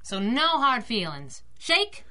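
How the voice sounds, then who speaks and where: A woman's voice: a little high, big pitch swings, slow, sharp tone, heavily acted. An actress playing a brash, feisty young working-class woman driver in a 1930s radio drama, slangy and wisecracking. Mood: conciliatory.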